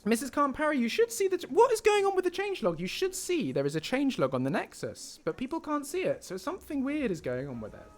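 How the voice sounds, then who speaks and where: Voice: sits high, huge pitch swings, fast talker, hardly any pauses. A person live streaming, chatting casually and correcting themselves as they explain things, with game music behind. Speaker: live streamer, mod creator. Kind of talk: casual livestream commentary.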